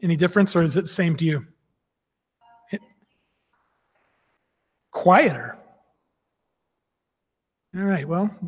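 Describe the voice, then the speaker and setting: Man, high and husky, casual stage talk in a reverberant hall. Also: slow pace, long pauses. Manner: chatty, testing sound with audience. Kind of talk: casual live event talk.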